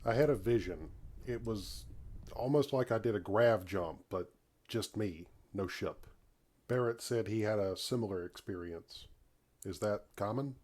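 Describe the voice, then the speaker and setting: Laconic miner-explorer for a game, male performer: lowish, slightly rough voice, plain-spoken and even-tempered. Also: measured pace. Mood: thoughtful. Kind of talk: scripted visual novel dialogue.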